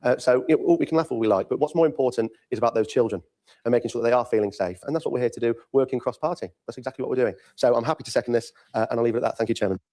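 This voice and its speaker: Male local councillor. Racing, halting delivery of a political speech, softly dark tone. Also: medium pitch. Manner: halting, repetitive.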